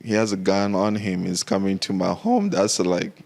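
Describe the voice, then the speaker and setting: A young man from Kenya talks in a low voice with a gravelly edge, chatting casually as a podcast guest. He laughs easily and repeats his points for emphasis. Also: normal speed. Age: young adult. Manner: relaxed, laughs often, repeats phrases for emphasis. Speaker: podcast guest, military veteran. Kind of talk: casual conversation.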